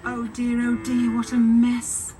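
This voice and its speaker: A woman's voice: fairly high pitch, completely flat delivery, takes it slowly, distant mic. A woman narrating children's stories in a scripted storytelling style for a story ride, heard from a little way off. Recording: loud music bed under the voice.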